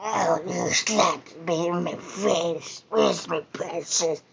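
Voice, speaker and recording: A man's voice: sits high, slow, crowd noise, heavily acted. A man voicing a creature obsessed with its lost treasure, drawn out, whining and cursing. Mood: frantic. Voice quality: gravelly, croaking. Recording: muffled lo-fi with steady background noise.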